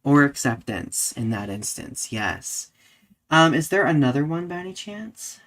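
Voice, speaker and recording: A man's voice: fairly high pitch, sing-song, measured pace, a bit bright, rough recording. A man hosting a live podcast in a casual, conversational style, often saying "like" and checking in with "right?". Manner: conversational, peppers talk with "like" and "right?". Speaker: podcast host.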